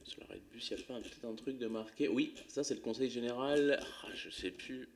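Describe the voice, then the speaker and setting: A man's voice: mid-range voice, fairly quick, a little hiss or hum. A man commentating his own play of a geography game live, thinking aloud in a casual, muttering way with some swearing.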